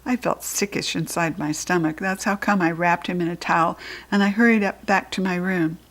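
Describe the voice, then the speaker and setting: A woman with an American accent reading a children's chapter book aloud. She jumps into a high, excited voice for the child's quoted lines and drops to soft, breathy, near-whispered asides. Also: normal speed. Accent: General American.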